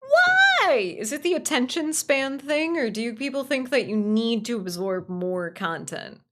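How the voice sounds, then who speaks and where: A woman's voice: fairly high pitch, very animated, a little slow, a bit bright. A woman chatting casually on a livestream, answering viewers in a gushing way and repeating phrases for emphasis. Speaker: livestream host.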